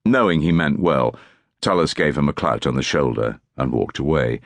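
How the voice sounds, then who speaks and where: A man's voice: extremely low pitch, expressive, moderate pace, dry recording. A man reading historical fiction as a scripted audiobook narration.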